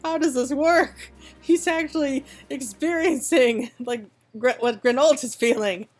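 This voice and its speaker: A woman with a fairly high voice gives casual playthrough commentary on a video game. She talks informally as a streamer would, with light music behind her. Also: unhurried, darkish tone. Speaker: gaming streamer reading game dialogue aloud.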